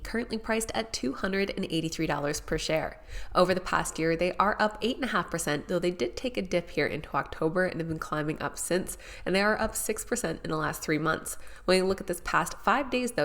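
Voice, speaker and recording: A woman hosting a stock market video, speaking in a nasal, congested voice from a head cold as she presents a scripted financial rundown. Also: fairly low pitch. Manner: steady, informative delivery of figures.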